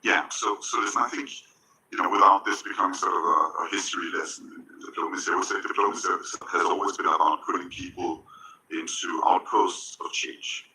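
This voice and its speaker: A man's voice: low-pitched, steady tone, fairly quick, pushed and bright, a little hiss or hum, rough recording. An older man with a Danish accent speaking as a panelist on a conference stage, conversational and self-deprecating, turning to address the audience. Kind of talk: panel discussion.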